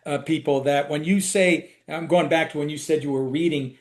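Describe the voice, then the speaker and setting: Male podcast host, higher-pitched, casual clarifier, echoey room. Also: steady tone. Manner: clarifying for listeners, quick to laugh along. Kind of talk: casual interview chat.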